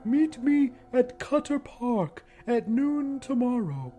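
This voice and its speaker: A man's voice: extremely high pitch, very animated, slow, warm tone, voice pushed up, exaggerated voice. A man voicing a weird, frog-like little man who recruits for a secret party, speaking formally and probingly in an audiobook story, with music behind him. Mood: cryptic. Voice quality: weird, odd voice.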